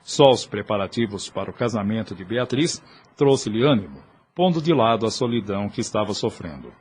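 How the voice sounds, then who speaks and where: A man's voice: on the low side, sing-song, a little fast, breathy edge. A man with a Brazilian accent reading scripted, dramatic narration for an audio novel.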